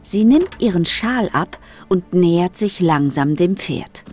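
A woman's voice: fairly low pitch, animated, slow pace, a little mellow, slightly rough, soft background music. A woman narrating a scripted children's audio drama as a storyteller.

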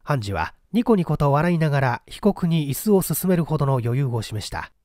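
A man narrating a literary mystery story from a script, with deliberate, dramatic storytelling delivery. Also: fairly high pitch, big pitch swings, a little mellow, no room sound, studio quality. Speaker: audiobook narrator, stage actor.

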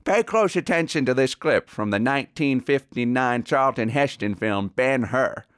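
A man's voice: medium pitch, animated, moderate pace, fairly bright tone, clear, resonant tone, dry recording, clean studio sound, lightly acted. An actor playing a genteel, self-serious veteran Hollywood sound-effects artist in a comedy mock interview, savouring his words pompously. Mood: proud, earnest.

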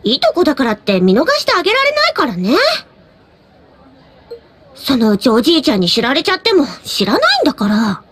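A woman's voice: sits high, wildly swooping pitch, brisk, a bit bright, exaggerated voice. A woman voicing a cute, earnest young schoolteacher in an anime-style game, primly scolding like a fussy older cousin.

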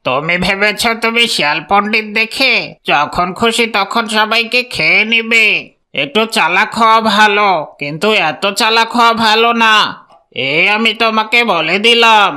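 Defiant cartoon goose leader voiced by a woman: bright, clean, ringing voice, emphatic and scolding. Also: mid-range voice, normal speed, smooth, close to an everyday voice. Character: plucky, defiant goose leading a flock, lecturing and clever. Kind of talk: Bengali children's cartoon voice acting.

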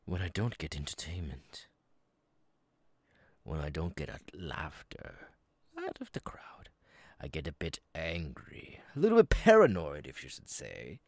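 A male voice actor playing a menacing, giggling, unhinged killer clown monster in a horror ASMR roleplay, raspy and gravelly, sliding between breathy whispers and cackles. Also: extremely low pitch, wildly swooping pitch, measured pace, a little mellow, no echo, heavily acted. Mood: unhinged, simmering.

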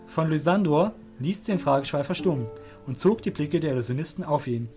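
A man's voice: fairly high pitch, animated, brisk, warm tone, soft background music. A man narrating a scripted fantasy audio drama.

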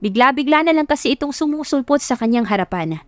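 A woman's voice: high voice, lively intonation, fast, no room sound. Woman narrating romance, close and dry. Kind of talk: scripted romance story narration.

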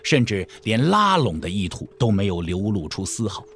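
A man narrating an audio drama in a measured, literary storytelling style. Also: on the low side, sing-song, fairly bright tone, slight background noise.

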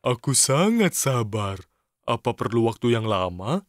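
Excitable cartoon guy, swooping pitch. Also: unhurried, no echo. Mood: excited. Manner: exclamatory, dramatic, eager. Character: excitable, impatient, childlike mustached male cartoon character. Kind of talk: children's cartoon dub in Indonesian.

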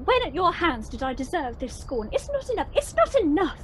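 High, swooping lovesick young noblewoman played by an actress. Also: big pitch swings, a little fast, fairly bright tone, a little hiss or hum, heavily acted. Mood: wounded indignation. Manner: theatrical, indignant, swooping. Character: lovesick, self-pitying young noblewoman, easily affronted. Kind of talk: Shakespearean radio play acting.

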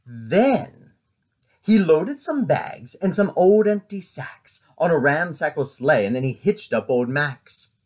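A middle-aged man, a teacher, reading a children's storybook aloud with dramatic emphasis and drawn-out repeated words. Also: on the high side, big pitch swings, darkish tone. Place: indoors in a living room at home.

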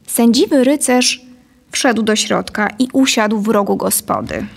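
A woman's voice: on the high side, measured pace, vocal fry. A woman in her thirties reading scripted story narration into a close studio microphone. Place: dim studio set, indoors. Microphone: close studio condenser microphone.

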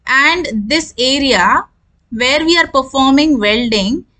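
A woman giving an instructional lecture, explaining terms deliberately and repeating her definitions. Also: high-pitched, animated, sharp tone.

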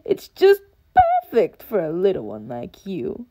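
A woman's voice: fairly low pitch, voice pushed down, heavily acted. Woman as a sly, swooping snail shaman. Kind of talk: fan dub of video game dialogue.

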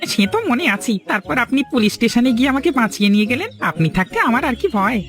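A woman's voice: fairly high pitch, big pitch swings, quick pace. A woman voicing a shameless, fawning young male henchman in a cartoon fairy tale. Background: music.

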